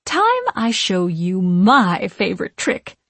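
A woman voicing a vain, scheming witch from centuries past, crooning and needling in a slow, theatrical manner for an audiobook. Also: medium pitch, wildly swooping pitch, husky, no echo, exaggerated voice. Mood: commanding, gloating. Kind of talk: audiobook character voice.

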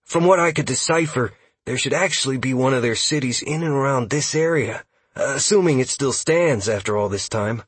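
A man performs a jittery male elf scout for an audiobook. The voice sits clearly higher, with a hesitant, apologetic delivery that is eager to explain lore. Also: normal speed, no room sound, natural voice. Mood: eager, explanatory. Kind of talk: audiobook character dialogue.

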